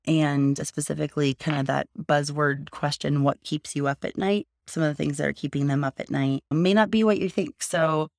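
A sleepy, hoarse woman in her thirties hosting a podcast, rambling in a chatty way and circling back to her point. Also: low-pitched, darkish tone, dry recording, studio quality. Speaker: podcast host. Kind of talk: casual solo podcast talk.

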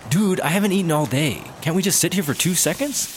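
An actor playing a breezy, argumentative, cocky slacker cop in a sci-fi comedy audio drama. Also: male voice, a little high, lively intonation, fast, a little hiss or hum, lightly acted. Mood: cocky, casual. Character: cocky, laid-back, hungry buddy-cop slacker.

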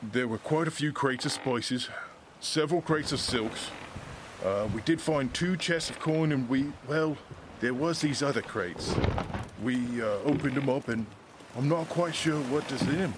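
An actor playing a nervous, deferential common sailor in an audio drama, speaking hesitantly in rough, unschooled grammar. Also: male voice, mid-range voice, a little slow, noisy background, natural voice. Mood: uneasy, deferential.

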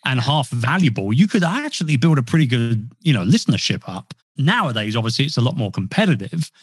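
A man's voice: mid-range voice, big pitch swings, brisk, husky, no room sound. A man chatting as a podcast guest, rambling and self-deprecating with frequent restarts. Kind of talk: casual interview talk. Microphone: podcast mic.

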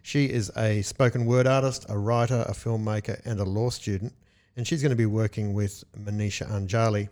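A man's voice: fairly low pitch, fairly even intonation, moderate pace, darkish tone. A man hosting a radio interview, friendly and a bit hesitant, with frequent restarts. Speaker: radio host.

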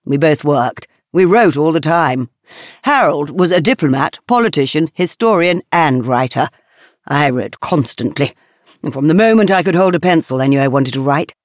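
A refined, aristocratic English woman writer telling her own story in a reflective audio drama. Her voice is expressive and melodic, with wide swings of intonation. The delivery is genteel, measured and literary, with a wistful temper. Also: sits low, no echo. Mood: reminiscing. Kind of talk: scripted first-person monologue, reflective storytelling.

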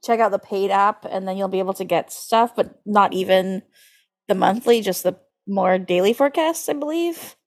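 Chatty woman podcaster peppering talk with "like". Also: mid-range voice. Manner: halting, filler-heavy, lots of "like". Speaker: podcast co-host. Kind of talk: casual chat, animated recap.

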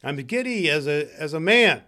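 A man acts out a reformed old miser in a storybook tale, giddy with joy. The voice is raised high and loud, exuberant and exclamatory, full of eager goodwill. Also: expressive, moderate pace.

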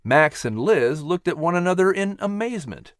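A man narrating a story in an animated, dramatic, expressive style. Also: high-pitched, moderate pace, no echo, clean studio sound. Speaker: audiobook narrator.

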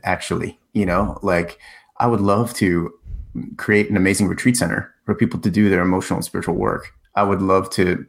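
A man in his forties with a low voice talks through his story in a relaxed, reflective way. He often stops and restarts his sentences. It sounds like a casual interview. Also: brisk, vocal fry. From United States. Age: 40s. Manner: reflective, with many restarts and fillers. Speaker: guest, corporate communication coach. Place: in the Czech Republic.

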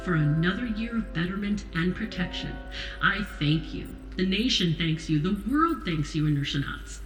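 A woman's voice: on the low side, lively intonation, normal speed, a bit bright, echoey room, soft background music, rough recording. A woman playing a gracious, wry national leader in an audio drama, speaking in a polished, composed way. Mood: formal, appreciative. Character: female president, gracious, wry, authoritative leader.

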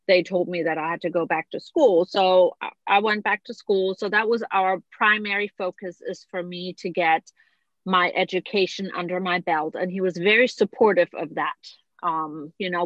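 A woman's voice: fairly low pitch, a bit bright, dry recording. Woman, forties, from Germany, podcast guest, conversational storytelling, dry close sound, slightly dull audio. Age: 40s.

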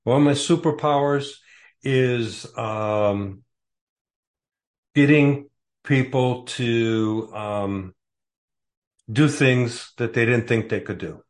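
A man's voice: medium pitch, slow, long pauses. A man in his sixties from the Detroit area, a guest author answering interview questions casually and hesitantly, with many fillers. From Metro Detroit area, USA.

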